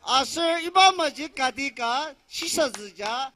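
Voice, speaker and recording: Male rally interpreter, extremely high pitch, forceful bright tone, declamatory phrases. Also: animated, normal speed. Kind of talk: loud consecutive interpreting of a speech.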